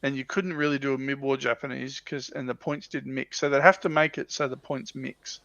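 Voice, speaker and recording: A man with a bright, punchy voice chats casually as a livestream co-host. His pitch is average, and the sound is very dry and slightly lo-fi, with little room around it. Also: level tone, a little fast, creaky, no room sound. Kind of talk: casual hobby chat about tabletop wargames.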